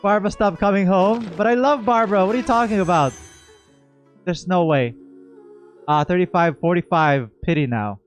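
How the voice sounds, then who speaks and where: A man with a slightly high voice chats casually as a game streamer. He is expressive and leans on repeated words for emphasis, with music playing behind him. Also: moderate pace. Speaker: gaming streamer. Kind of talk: casual livestream game reaction.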